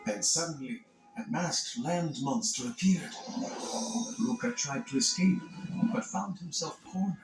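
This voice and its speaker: A man reading scripted children's read-along narration in an expressive, dramatic storytelling manner. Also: on the high side, a little slow. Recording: echoey narration over music. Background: music.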